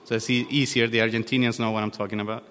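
Lower-voiced Chilean man preaching in a reverberant hall. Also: level tone, a bit bright. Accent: Chilean Spanish. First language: Spanish. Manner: informal and halting, self-deprecating. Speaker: guest preacher. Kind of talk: sermon. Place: church hall.

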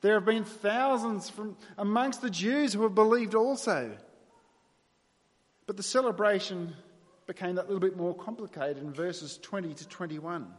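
A man's voice: high-pitched, moderate pace. A man with an Australian accent preaching a sermon to his congregation in a conversational, self-deprecating way. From New South Wales, Australia. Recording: long reverberant hall sound.

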